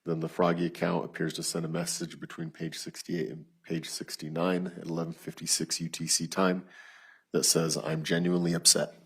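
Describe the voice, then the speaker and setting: A man testifying as a detective in court, reading written messages aloud in a flat, procedural, matter-of-fact way with a husky voice. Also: sits low, moderate pace. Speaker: lead detective testifying as witness.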